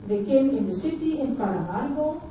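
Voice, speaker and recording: A woman from Suriname whose first language is Dutch speaks at a conference in a fairly high, mellow voice. She talks haltingly and often repeats her words. She sounds far from the mic in a very echoey hall. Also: animated, slight background noise. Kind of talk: personal talk at a conference.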